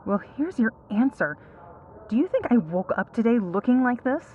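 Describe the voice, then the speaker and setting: A woman's voice: fairly high pitch, big pitch swings, normal speed. Girl playing annoyed superhero patient, dry and sarcastic, roleplay audio, slightly dull sound with some noise. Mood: angry, sarcastic. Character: exasperated, sarcastic female superhero as injured hospital patient. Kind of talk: ASMR roleplay audio drama.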